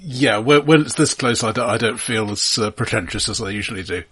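A man's voice: fairly low pitch, fairly quick, fairly bright tone, breathy edge. A man co-hosting a podcast in casual discussion, often qualifying and correcting what he says mid-sentence. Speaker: podcast co-host.

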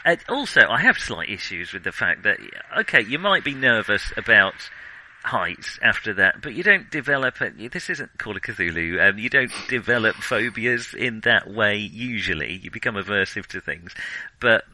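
A man co-hosting a film podcast, chatting casually in a halting, digressive way and often correcting himself. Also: lowish voice, big pitch swings, sharp tone, slight background noise, rough recording. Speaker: film discussion podcast co-host.

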